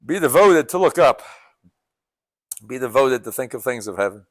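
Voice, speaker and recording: A man's voice: mid-range voice, expressive, moderate pace, no room sound. A man preaching a sermon as pastor, with a strong voice, urging his listeners in earnest, repeating and restarting his phrases. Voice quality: strong coach-like voice. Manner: earnest, repetitive exhortation with restarts.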